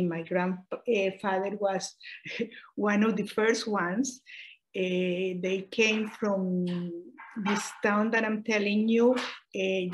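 A woman's voice: mid-range voice, low-quality recording. Middle-aged Costa Rican woman recalling family history. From Costa Rica. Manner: unhurried, frequent "eh" hesitations and self-corrections. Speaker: oral history interviewee. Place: home room, indoors. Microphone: video call webcam.

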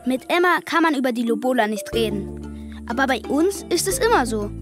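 An actress playing a curious, matter-of-fact child in a children's radio play. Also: female voice, high voice, animated, brisk, music in the background. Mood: explaining. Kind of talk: children's radio drama acting.

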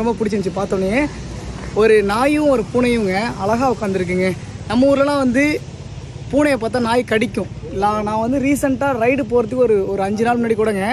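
A young man chatting casually to his viewers on a motorcycle travel vlog, talking to them directly, recorded outdoors by a roadside. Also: a little mellow. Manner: chatty, addresses viewers directly, calls to animals in a high sing-song. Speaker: motovlogger. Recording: wind and traffic noise outdoors.

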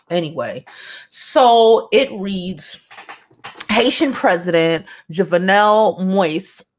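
A woman's voice: mid-range voice, lively intonation, drawn-out words, slight background noise. A woman, casually and bluntly commenting on news and reading it aloud from a home studio, with sighs and profane asides. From Brooklyn, New York. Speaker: celebrity news vlogger. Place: home studio with neon sign, indoors.

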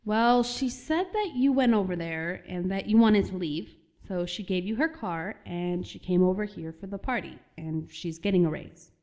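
A woman's voice: medium pitch, normal speed, hardly any pauses, dark tone, close to an everyday voice. A woman playing an easygoing, concerned niece in a casual, conversational way in an audio drama. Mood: casual, puzzled.

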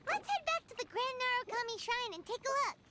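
A tiny fairy sidekick chirps in a piercing, very high voice that bounces all over. Her talk is eager and excitable, straight from a game. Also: brisk, very noisy. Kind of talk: game voice acting.

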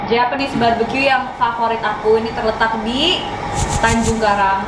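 Casting: woman, twenties, higher register, casual vlog host, loud noisy room. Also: steady tone, moderate pace. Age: 20s. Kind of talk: casual vlog chat with friends. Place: private dining room in a restaurant. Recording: echoey room, loud background noise.

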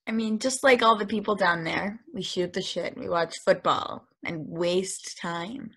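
Uneasy young woman, read by an actress, husky, halting, video call. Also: on the low side. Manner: hesitant, full of fillers.

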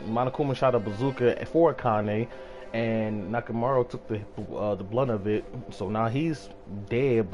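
Man, mid-pitched, slightly scratchy, casual slangy game streamer, swears freely, music behind him. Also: a little mellow. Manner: slangy, profane, talking to viewers. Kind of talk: casual let's play commentary with slangy banter, reading dialogue aloud.

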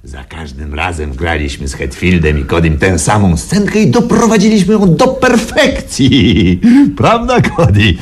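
A smug, domineering rich man in a radio drama. His voice is very deep and husky, swinging widely as he drawls with callous amusement. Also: very animated, a little slow, heavily acted. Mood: smugly amused. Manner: chuckling, drawling, self-assured. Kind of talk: Polish radio play acting.

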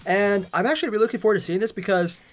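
A young man hosting a reaction video, chatting casually with joking, excitable exclamations. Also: sits high, rapid-fire. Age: young adult. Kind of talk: casual banter reacting to an animated parody. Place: small furnished room at home, on a couch.

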